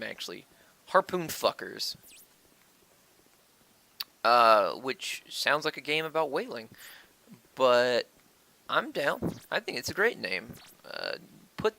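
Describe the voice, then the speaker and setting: A man giving casual, rambling Let's Play commentary, crude and profane with self-deprecating jokes and halting delivery, on a cheap microphone. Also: medium pitch, sharp tone. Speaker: gaming video host. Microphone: worn-out stand-by microphone. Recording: cheap mic with wonky audio.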